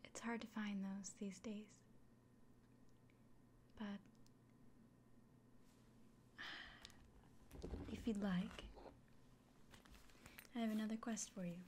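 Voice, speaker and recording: A woman playing a kindly village innkeeper in a fantasy ASMR roleplay, speaking in a soft, breathy whisper with gentle, hesitant pauses. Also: mid-range voice, steady tone, natural voice. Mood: warm, relieved. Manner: gentle, unhurried, hesitant pauses, fussing over the listener. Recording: close soft recording with clear background noise.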